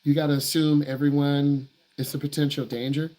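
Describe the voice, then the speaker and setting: A man's voice: on the high side, flat delivery, a little fast, warm tone. A man who works as a driving instructor answers viewer questions on a live stream in a blunt, emphatic way. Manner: blunt, emphatic, repeats himself for stress.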